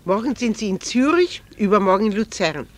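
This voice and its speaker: A woman's voice: mid-range voice, animated, fairly quick, lightly acted. Woman acting genteel, wistful middle-aged wife. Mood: wistful, pained. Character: genteel, wistful wife and mother, gently teasing. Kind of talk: 1960s German radio play acting.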